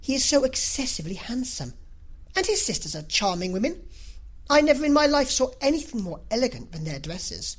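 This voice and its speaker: A woman voicing an excitable, gushing middle-aged mother in a novel reading, rambling on breathlessly and effusively. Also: a little high, a little fast, voice pushed up. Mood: excited, rambling. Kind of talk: classic novel audiobook character reading.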